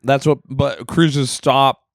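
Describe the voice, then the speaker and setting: A man comedian chatting on a podcast, his voice hoarse and crackly from overuse, talking loudly and swearing freely. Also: medium pitch, little pitch movement, takes it slowly, dry recording, clean studio sound. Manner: loud, emphatic, swears freely. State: hoarse, recovering voice. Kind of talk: casual podcast chat.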